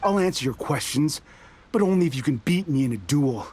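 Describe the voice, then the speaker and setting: An actor playing a fiery, embittered resistance soldier in a video game, proud and defiant. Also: male voice, a little high, lively intonation, brisk, darkish tone, slight background noise, natural voice. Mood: brash, challenging. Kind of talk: dramatic video game character acting.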